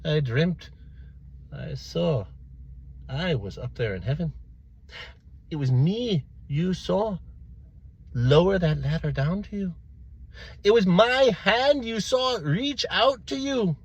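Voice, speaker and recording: A younger priest acted by a male storyteller declaims earnestly, out to outdo others. The voice is husky and very animated, drawing words out in storybook fashion. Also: high voice, no echo, highs cut off, voice pushed up, heavily acted. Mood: smug wonder. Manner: declamatory, drawn-out.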